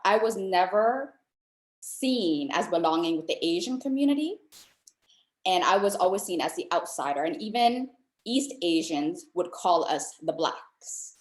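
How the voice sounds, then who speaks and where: A woman's voice: lowish voice, echoey room. A woman from Southeast Asia speaking as a panelist, telling her story earnestly. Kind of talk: panel discussion.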